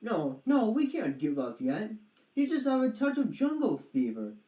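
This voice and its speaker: Storybook boy adventurer: earnest, gentlemanly, pompous, animated delivery with some room echo, acted by a narrator. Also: mid-range voice, moderate pace, pitched above the performer's own voice. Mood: reassuring. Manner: pompous, proper.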